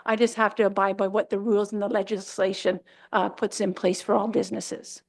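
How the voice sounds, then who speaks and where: A woman on municipal staff walks council through bylaw rules. She talks at a middling pitch in a procedural, explanatory way with plenty of ums. Her voice comes through a desk microphone in a council chamber. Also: little pitch movement, a little fast, vocal fry. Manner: procedural, explanatory, frequent fillers.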